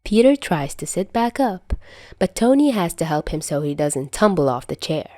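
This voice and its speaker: A woman's voice: lowish voice, big pitch swings, normal speed, darkish tone, no room sound, studio quality. A woman reading scripted fiction aloud as the narrator of an audio story. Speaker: podfic narrator.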